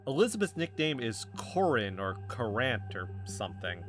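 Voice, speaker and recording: An earnest man, played by an actor, confides his suspicions as an amateur investigator in a naturalistic podcast drama. His mid-pitched voice is insistent and defensive, with faint music behind. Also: very animated, normal speed, lightly acted. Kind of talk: naturalistic fiction podcast acting as recorded conversation.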